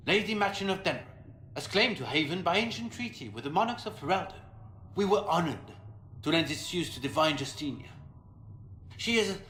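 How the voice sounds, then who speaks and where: In an echoing space with faint noise, a proud marquis from a fantasy game. His fairly high male voice is pompous and formal, declaiming with haughty indignation. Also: a bit bright. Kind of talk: video game dialogue acting.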